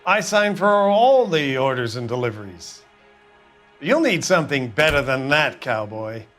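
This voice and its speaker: An actor playing a slippery, self-important American crook cornered by police in a video game, evasive in manner. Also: male voice, medium pitch, big pitch swings, unhurried, fairly bright tone, faint music behind. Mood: indignant.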